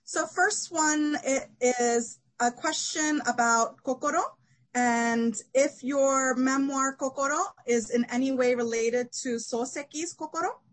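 Woman asking interview questions, higher voice, a few ums. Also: measured pace, low-quality recording. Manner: reads out audience questions, with a few fillers. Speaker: interviewer, teacher of Japanese literature.

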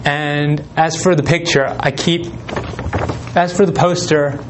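A man giving an informal talk, reading letters aloud in a relaxed way with frequent pauses and ums. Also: on the high side, background noise. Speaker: presenter.

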